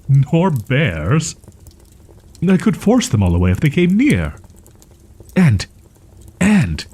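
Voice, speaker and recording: A man playing a proud, wounded young wolf-boy in an audio roleplay, stammering in archaic, formal phrasing with a husky, breathy voice. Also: medium pitch, mellow, exaggerated voice. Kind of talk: roleplay audio drama, ASMR.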